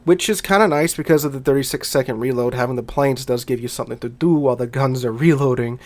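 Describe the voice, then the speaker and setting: A man's voice: mid-range voice, fast. A man with a Southern American accent, congested from a cold, giving enthusiastic casual commentary on a gaming video. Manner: enthusiastic, conversational. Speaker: gaming YouTuber.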